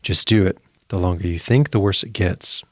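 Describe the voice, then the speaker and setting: A man's voice: sits low, normal speed, heavy background noise, natural voice. A man voicing a lone, resigned astronaut who talks to himself in a brooding way in a sci-fi audio story. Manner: brooding, self-talking.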